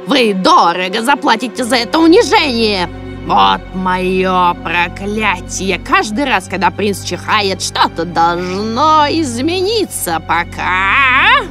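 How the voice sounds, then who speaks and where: An elderly cartoon fairy, acted by a woman, haughty, wronged and out for revenge. She stretches her reproaches slowly, with a voice that swings widely in pitch. Music plays behind her. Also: big pitch swings. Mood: menacing. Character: offended, vengeful elderly female fairy laying a curse. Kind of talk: dubbed fairy-tale cartoon acting.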